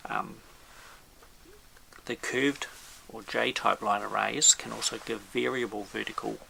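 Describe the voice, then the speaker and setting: A man giving an informal technical explainer as an expert presenter, in a halting, measured way. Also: fairly low pitch, vocal fry, sharp tone, slight background noise, poor audio.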